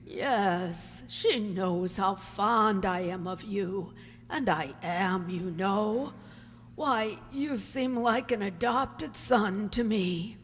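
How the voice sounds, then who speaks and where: A woman playing a fond, lonely elderly spinster in an amateur radio drama, gentle but insistent, with hesitant repeats. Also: medium pitch. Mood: affectionate. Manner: unhurried, hesitant, repeats words. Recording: echoey hall, faint music.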